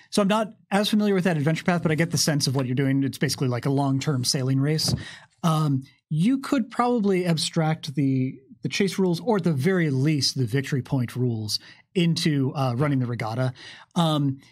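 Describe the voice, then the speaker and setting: Man in his thirties, fairly high voice, articulate, explanatory panel talk, game developer. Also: expressive, a little fast. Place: indoor studio table with curtain backdrop. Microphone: tabletop microphone on a stand.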